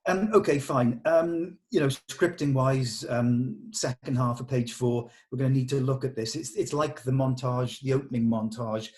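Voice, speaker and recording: A man's voice: mid-range voice, moderate pace, few pauses, darkish tone. Man giving directive script notes. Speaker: script reader giving notes at a table read. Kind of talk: informal script discussion.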